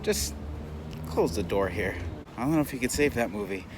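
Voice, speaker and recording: A man's voice: fairly low pitch, expressive, normal speed. A man giving a casual, rambling, bluntly opinionated spoken movie review, as a vlogger talking while out and about. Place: outdoors on city streets, walking. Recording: clear outdoor street and traffic noise.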